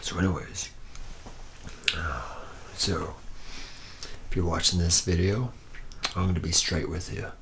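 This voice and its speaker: Male actor as boozy womanizing factory mechanic, very deep and flat in pitch, loose, laid-back ASMR roleplay delivery. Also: measured pace, long pauses, a little hiss or hum. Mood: rambling, casual. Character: charismatic, drunken, hard-living womanizing senior robot mechanic.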